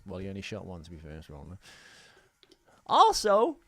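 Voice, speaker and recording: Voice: low voice, wildly swooping pitch, a little fast, no room sound. A podcast host reading listener mail aloud in a jokey, sweary way, often stumbling over the text.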